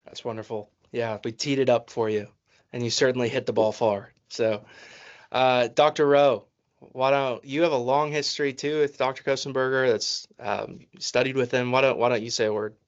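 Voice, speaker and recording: A man with a mid-range voice hosts a podcast, warmly affirming his guests before handing them the floor. The sound is muffled and lo-fi. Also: mid-range voice, fairly even intonation, normal speed. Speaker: podcast host. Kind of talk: interview hosting.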